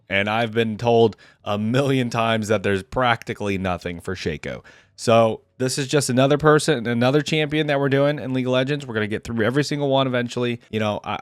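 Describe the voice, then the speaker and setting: A man's voice: mid-range voice, dry recording, studio quality. A man hosting a casual video game reaction, rambling informally and talking straight to his viewers. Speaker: YouTube reaction host.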